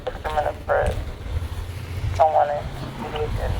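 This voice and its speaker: A man chatting casually in brief, low-key replies. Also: completely flat delivery, moderate pace, gravelly, noisy background. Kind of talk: casual chat over walkie-talkie.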